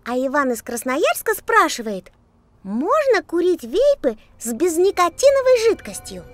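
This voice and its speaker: A woman's voice: very high voice, huge pitch swings, measured pace, no room sound, heavily acted. A woman voicing a cheerful young girl who reads out viewers' letters in a children's cartoon. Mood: eager.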